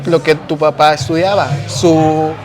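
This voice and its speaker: Mexican male host, casual chat, slangy, lots of fillers, a bit high, music bed. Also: measured pace. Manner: animated, slangy, searches for words with many fillers.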